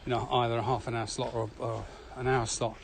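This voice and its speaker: A man's voice: mid-range voice, flat delivery, a little fast, creaky. A man co-hosting a podcast, chatting casually and telling anecdotes while out on a walk. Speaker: podcast co-host and trainer. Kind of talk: casual walking podcast chat. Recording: noisy walking recording with ambient sound.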